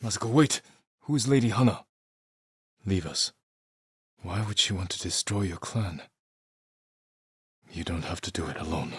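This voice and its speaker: An actor voicing a grave, determined samurai warrior in a video game, speaking in measured, solemn, terse lines. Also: male voice, low-pitched, a bit bright, breathy edge, no echo, studio quality, exaggerated voice. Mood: urgent, calling out. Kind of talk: dramatic game character dialogue.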